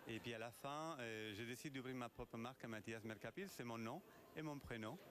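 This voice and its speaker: A man from Argentina with a mixed Italian and Spanish accent, answering an interview about his work in halting phrases. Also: medium pitch, a bit bright. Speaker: leather goods designer being interviewed. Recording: noisy on-location event sound.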